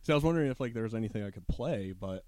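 A man's voice: on the low side, expressive, rapid-fire, darkish tone, no room sound. Laid-back man hosting a casual chat. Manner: laid-back, rambling, laughs often. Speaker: podcast host and DJ.

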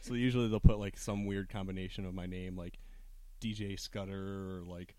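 A man's voice: low voice, little pitch movement, moderate pace, warm tone, no echo. A man hosting a casual chat with a DJ guest, laid-back and rambling, laughing often. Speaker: podcast host and DJ.

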